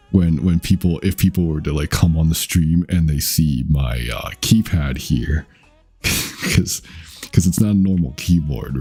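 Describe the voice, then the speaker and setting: A young man with a deep voice, chatting casually with his stream viewers in a rambling, halting way, with lots of fillers, casual swearing and frequent laughs. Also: warm tone, husky. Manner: rambling, halting, with many fillers and self-corrections. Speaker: VTuber streamer.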